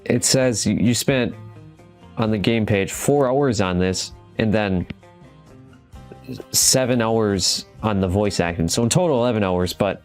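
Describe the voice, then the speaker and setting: A man with a fairly low voice hosts a casual gaming video. He talks informally and reads on-screen text out loud, sometimes tripping over it. Light music plays behind him. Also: unhurried. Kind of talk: casual game commentary and reading game text aloud.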